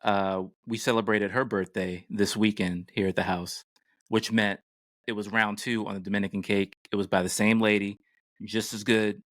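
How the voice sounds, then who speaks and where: A middle-aged man with an American accent talks casually as a podcast host. His voice sits fairly low, and the sound is dry, with no room echo. The delivery is conversational and informal. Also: little pitch movement, normal speed. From United States.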